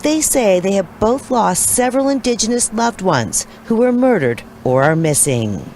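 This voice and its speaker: A woman's voice: medium pitch, sing-song, moderate pace, a little mellow. A woman narrating a scripted news report as a reporter. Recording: faint ambient noise.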